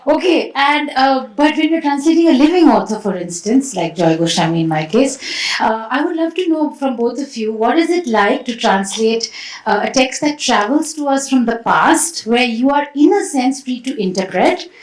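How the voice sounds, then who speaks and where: A woman's voice: sits high, moderate pace. Woman moderator in an echoey hall. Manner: thoughtful, animated questioning with fillers. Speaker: panel moderator. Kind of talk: moderating a panel discussion. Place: festival stage hall.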